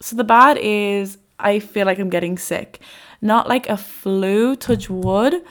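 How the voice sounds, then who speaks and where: A woman's voice: mid-range voice, takes it slowly. A young woman hosting her podcast in a loose, chatty way with lots of fillers, a little congested and nasal from a cold. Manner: chatty, rambling with many fillers. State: congested, a bit sick. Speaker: podcast host. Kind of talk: casual solo podcast chat.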